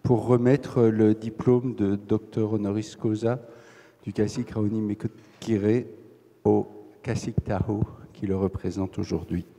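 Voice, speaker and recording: A man's voice: fairly low pitch, fairly even intonation, unhurried, warm tone. A man making a formal announcement as master of ceremonies. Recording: reverberant hall, faint noise.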